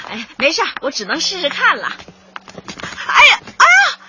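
A high-voiced young woman, chatty and easily flustered. Her delivery swoops expressively and is full of exclamations, in a muffled radio drama recording over a busy background. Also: wildly swooping pitch, takes it slowly, very noisy, heavily acted. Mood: flustered, in pain. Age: young adult. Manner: exclamatory, effusive, full of sighs and interjections. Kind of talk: radio drama acting, phone monologue.

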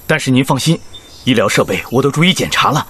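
A man's voice: mid-range voice, expressive, quick pace, a bit bright, husky. An actor playing an eager, brave and respectful male doctor in a radio drama. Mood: eager, reassuring. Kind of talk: Chinese radio drama acting.

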